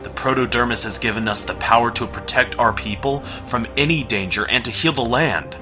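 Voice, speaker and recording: A man's voice: mid-range voice, brisk, lightly acted. A man playing a resolute heroic warrior leader in a fantasy audio drama, speaking in a commanding, dramatic way. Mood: solemn, resolute. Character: heroic male fire warrior leader, robotic being, resolute. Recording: music behind.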